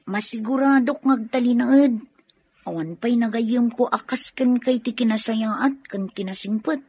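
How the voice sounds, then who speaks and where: A woman acting a shy, sincere young student being courted in an Ilocano audio drama, with a breathy voice. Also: a little high, a little slow, dark tone. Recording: dull, lo-fi dry recording.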